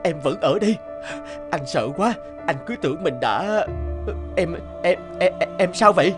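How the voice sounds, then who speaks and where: A man's voice: high voice, expressive, unhurried. A man playing an earnest, anxious young husband and father in a cartoon drama, speaking with emotion. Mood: relieved, apologetic. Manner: emotional, urgent, pleading. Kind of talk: dubbed cartoon drama acting. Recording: music behind the voice.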